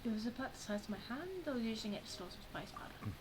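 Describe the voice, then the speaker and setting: A woman's voice: on the high side, animated, fast, noisy background. A woman playing a game, casually commenting and reading lines aloud. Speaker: player reading along with a game.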